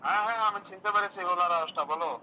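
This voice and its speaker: A man in a casual phone conversation, earnest and questioning, heard over a loudspeaker. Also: high-pitched, steady tone, very fast. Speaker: friend receiving a prank call. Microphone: heard through phone loudspeaker. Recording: phone on loudspeaker, faint noise.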